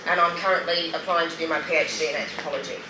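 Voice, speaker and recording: A woman's voice: deep voice, fairly even intonation, racing. A middle-aged woman with an Australian accent giving a candid, emphatic personal testimony with wry humour in a public speech. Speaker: guest speaker, anti-poverty group member sharing her story. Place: roomy venue at a public event. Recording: roomy, noisy, distant event microphone.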